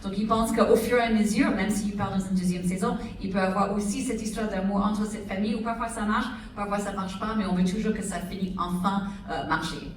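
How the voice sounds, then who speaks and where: A woman interviewing and interpreting guests' answers into French, with quick paraphrasing and fillers. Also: mid-range voice, steady tone. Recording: echoey room, distant mic, faint noise.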